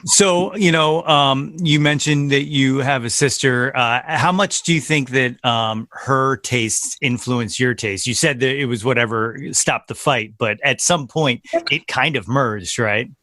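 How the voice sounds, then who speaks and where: A man's voice: medium pitch, unhurried, few pauses, pushed and bright, no room sound, clean studio sound. A middle-aged American man hosting a podcast, effusive and affectionate, moving between scripted introduction and casual interview chat. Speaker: podcast host.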